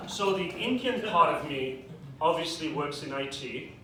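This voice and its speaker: A young man with a British accent works a stand-up set, dropping dry, self-deprecating punchlines. His voice sits a little high. It is caught from a distance in a very echoey club, with the crowd's noise clear behind him. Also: animated, a little slow. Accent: British English. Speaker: stand-up comedian. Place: comedy club with a live audience. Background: audience laughter.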